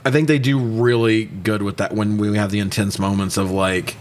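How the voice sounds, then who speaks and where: A man's voice: on the low side, fairly even intonation, moderate pace, a little hiss or hum. A man co-hosting a podcast, casually recapping a movie in an irreverent, joking, profane way. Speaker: podcast co-host.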